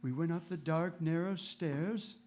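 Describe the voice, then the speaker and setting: An actor playing a loyal gentleman companion in a radio drama, genial and earnest in his storytelling. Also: on the high side, unhurried, slight background noise, close to an everyday voice. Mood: fond, reminiscing. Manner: reminiscing, earnest.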